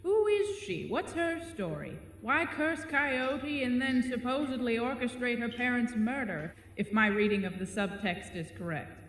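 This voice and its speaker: An actor in an audio drama voicing a bored, lonely male spider monster with polite, wry, measured phrasing. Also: medium pitch, expressive, fairly bright tone. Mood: curious, critical. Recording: echoey cavern-like reverb, faint noise.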